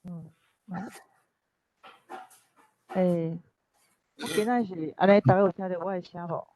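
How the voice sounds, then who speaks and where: A woman's voice: fairly low pitch, fairly even intonation, unhurried, mellow, a little hiss or hum. A woman with a Taiwanese accent presenting informally as a guest, over a dull online connection. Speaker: guest speaker at literary forum.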